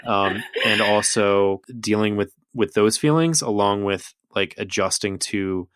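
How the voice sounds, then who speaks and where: A man hosting a podcast, talking in a relaxed, casual way with frequent laughs and filler words. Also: fairly low pitch, unhurried, clean tone, no echo. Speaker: podcast host. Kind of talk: casual interview chat. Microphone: remote call recording.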